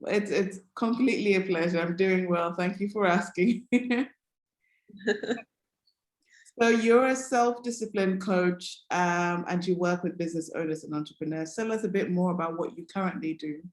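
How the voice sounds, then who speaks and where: A woman hosts an interview with bubbly enthusiasm and heaps praise on her guest. Her voice sits a little low for a woman. The recording has a noticeable roomy echo. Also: moderate pace, hardly any pauses. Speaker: interview host. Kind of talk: upbeat interview hosting.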